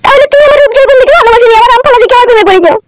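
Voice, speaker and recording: A man's voice: very high voice, racing, fairly bright tone. A man voicing a suspicious, nagging married woman in a comedy cartoon. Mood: coy, questioning. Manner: nagging, indignant questioning.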